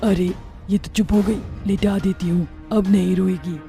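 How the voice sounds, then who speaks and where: A woman's voice: medium pitch, fairly even intonation, moderate pace, gravelly, over music, natural voice. A woman voicing a man-eating witch turned doting mother in a Hindi cartoon story, exclaiming and talking to herself expressively. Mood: exasperated, softening.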